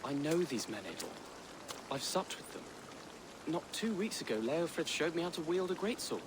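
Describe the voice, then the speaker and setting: A man's voice: fairly high pitch, sing-song, normal speed, noisy background. Earnest young nobleman, widely inflected. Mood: troubled, pleading. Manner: earnest, pleading. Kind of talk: dramatic game cutscene acting.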